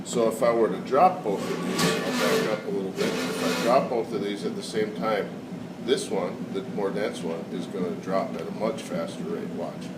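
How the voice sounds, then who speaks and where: A somewhat low-voiced man with a cold talks through a science demonstration, pausing on fillers as he explains. He sounds set back from the microphone in an echoey room. Loud air conditioning hums steadily behind him. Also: distant mic, very noisy. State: has a cold. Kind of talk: casual classroom demonstration.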